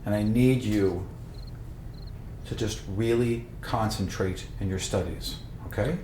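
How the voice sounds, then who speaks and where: A man's voice: fairly low pitch, slow pace, noisy background, pitched below the performer's own voice. Man acting a terse, protective soldier brother, roomy echo. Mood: subdued, earnest. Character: protective older brother, stern soldier sparring with young acolyte. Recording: roomy echo, music behind.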